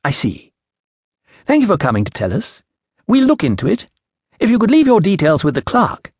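A man's voice: high-pitched, wildly swooping pitch, moderate pace, darkish tone, breathy edge, dry recording, pitched above the performer's own voice, exaggerated voice. A man voicing an inexperienced young Roman official in an audiobook, hesitant, polite and awkwardly bureaucratic. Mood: awkward, dismissive.

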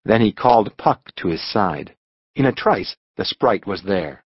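A man narrating a storybook as an audiobook reader, in a dramatic, expressive scripted style. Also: fairly low pitch, unhurried, breathy edge, no room sound, highs cut off.

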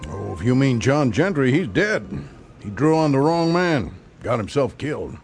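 A man's voice: medium pitch, big pitch swings, normal speed, darkish tone, soft background music. Domineering frontier lawman, curt and menacing, with very varied intonation. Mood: cold, dismissive. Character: cold, domineering small-town Western marshal. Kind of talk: dramatized Western audiobook acting.

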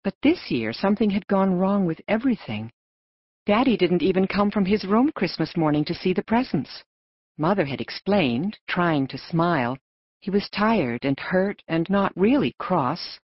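A woman narrating an audiobook story in an expressive storytelling manner. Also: on the low side, normal speed, breathy edge, dry recording, highs cut off.

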